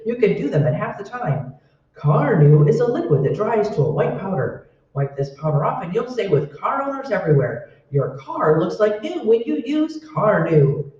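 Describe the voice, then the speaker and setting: A performer playing an upbeat 1940s radio commercial announcer, giving an emphatic scripted sales pitch. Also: mellow, close to an everyday voice. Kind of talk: 1940s radio advertising read. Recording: echoey room, distant mic, muffled.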